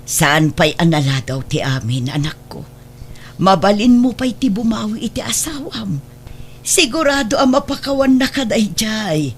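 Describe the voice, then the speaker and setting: Actress as doting older mother, medium pitch. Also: animated, moderate pace, slight background noise, natural voice. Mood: reassuring. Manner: motherly, reassuring. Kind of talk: melodramatic audio drama acting.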